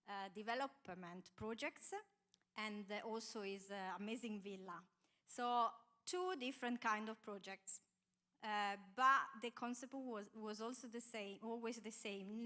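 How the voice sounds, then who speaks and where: A woman's voice: on the high side, a bit bright. Italian woman with a hesitant accent. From Italy. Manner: hesitant, many "uh" fillers, earnest. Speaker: architect on a property panel. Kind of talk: panel self-introduction on stage. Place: large conference hall stage. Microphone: handheld microphone.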